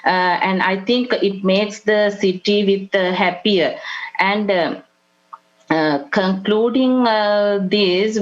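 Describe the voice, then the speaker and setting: A woman with a Sri Lankan accent speaking as a conference panelist over a video call, halting with many fillers. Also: mid-range voice. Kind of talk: prepared remarks on a video call. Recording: dull lo-fi remote audio.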